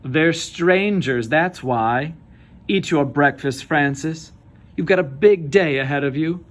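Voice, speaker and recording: A man's voice: a little high, takes it slowly. A man voicing a firm, practical mother in a children's storybook read-aloud. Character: firm, brisk mother of a young girl.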